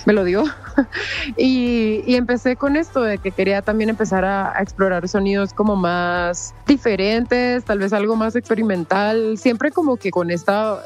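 A woman's voice: medium pitch, darkish tone. A young woman from Costa Rica, a singer talking on a phone line in a casual interview, chatty and giggly with lots of fillers. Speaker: singer, guest.

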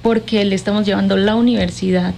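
A woman's voice: medium pitch, fairly even intonation, fast talker, vocal fry, darkish tone. Effusive Colombian woman, some background noise. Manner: effusive, affectionate. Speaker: interview guest, cultural manager. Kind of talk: conversational interview.

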